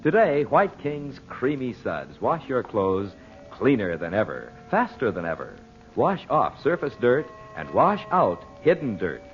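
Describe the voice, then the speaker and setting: Old time radio announcer guy, super animated sales pitch, polished, muffled broadcast w faint music. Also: measured pace. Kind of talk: 1940s radio sponsor announcing, scripted.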